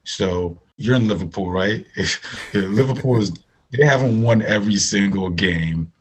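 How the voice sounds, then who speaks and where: A man's voice: lowish voice, normal speed, a little hiss or hum, rough recording. A man with a Nigerian accent answering interview questions conversationally, making points with emphasis and repetition. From northern Nigeria. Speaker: podcast guest, agribusiness managing director.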